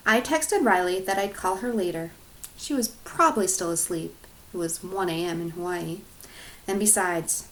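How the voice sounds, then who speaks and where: A woman teacher reading a storybook aloud to her class in an expressive narrating style. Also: mid-range voice, low-quality recording.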